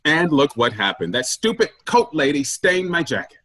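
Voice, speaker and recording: A man's voice: fairly high pitch, sing-song, normal speed, pushed and bright, no room sound, heavily acted. A man in a staged comedy reading playing a pushy, self-important office boss who preaches efficiency emphatically. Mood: dismissive.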